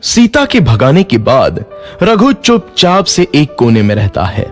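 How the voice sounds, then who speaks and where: A man narrating a children's cartoon story in a lively, expressive way. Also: mid-range voice, a little slow, faint music behind.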